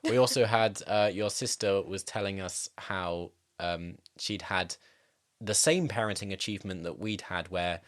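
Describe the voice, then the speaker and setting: A man with a deep, low voice co-hosting a relaxed podcast. He keeps the talk upbeat and laughs often. He also runs through the show announcements. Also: unhurried. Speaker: podcast co-host. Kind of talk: casual chat.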